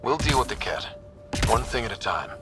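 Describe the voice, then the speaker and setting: A man voices a young male explorer leading a squad in a sci-fi game. He is confident and brisk, giving orders with lively inflection. Music plays behind him. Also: mid-range voice, lightly acted. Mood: determined. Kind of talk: video game voice acting.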